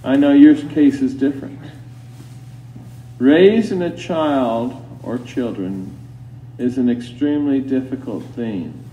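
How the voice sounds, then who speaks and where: Slow, solemn male priest preaching, distant and echoey. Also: medium pitch. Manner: solemn, deliberate, admonishing, with long pauses. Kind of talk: sermon. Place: inside a church. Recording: roomy church, distant mic, dull, faint hum.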